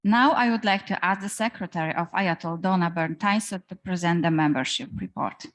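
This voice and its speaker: A woman chairing a general meeting as president, speaking formally with halting pauses and frequent fillers. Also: lowish voice.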